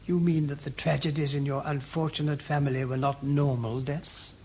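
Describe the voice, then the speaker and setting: A man with a British accent playing a gentle, inquisitive priest-detective in a radio drama, musing aloud politely and a little hesitantly. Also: fairly high pitch, level tone, moderate pace, husky. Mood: gently probing. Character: gentle, inquisitive, mild-mannered English priest-detective, male.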